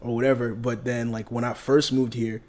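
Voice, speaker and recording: A man's voice: medium pitch, flat delivery, a little mellow. A young man with a North American accent, rambling casually as a podcast co-host. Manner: quick and rambling, heavy slang and fillers. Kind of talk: casual chat.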